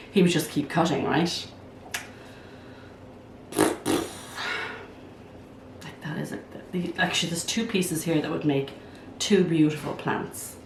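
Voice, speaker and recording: An older woman talks in a low, croaky voice, hoarse from a cold. She chats eagerly and thinks aloud as she works. She is heard from some way off the mic in an echoey room, with a faint hiss behind her. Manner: chatty, enthusiastic, thinks aloud while working. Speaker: plant channel host. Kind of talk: casual vlog. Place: at home, indoors. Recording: roomy sound from a distance.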